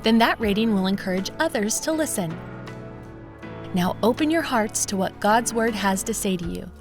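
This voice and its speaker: A woman's voice: medium pitch, lively intonation, normal speed. A woman reading a scripted podcast introduction in a polished, inviting way. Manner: polished, inviting promo delivery. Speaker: podcast announcer. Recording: announcer voice over clear music bed. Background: music.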